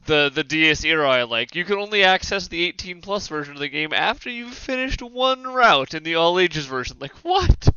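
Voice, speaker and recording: Male panelist, sharp forward tone, casual and blunt, dry slightly lo-fi recording. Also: fairly high pitch, lively intonation, normal speed, hardly any pauses, clear, resonant tone, no room sound. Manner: blunt, sweary. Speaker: podcast panelist.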